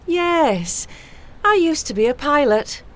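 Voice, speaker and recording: A woman playing a helpful, chatty former pilot in an amateur audio play, speaking in a deliberate, friendly way. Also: high-pitched, very animated, exaggerated voice. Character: helpful, chatty female ex-pilot offering a rescue flight. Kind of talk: amateur audio drama acting.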